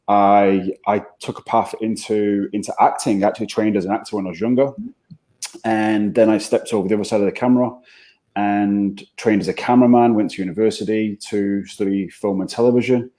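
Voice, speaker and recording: A man's voice: on the low side, mellow. A man with a Manchester accent, a guest on an interview show, talking conversationally and often repeating words as he thinks. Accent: Mancunian English. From England.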